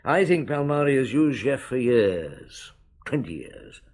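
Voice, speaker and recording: An actor playing a shrewd, weary police chief inspector in a radio drama, measured and authoritative. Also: male voice, mid-range voice, sing-song, a little mellow. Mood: accusing.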